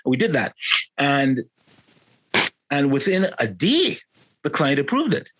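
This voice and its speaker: A man in his fifties, co-hosting a casual podcast, animated and playfully mock-indignant. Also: medium pitch, takes it slowly, dull audio. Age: 50s. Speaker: podcast co-host. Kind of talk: casual chat. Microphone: remote call.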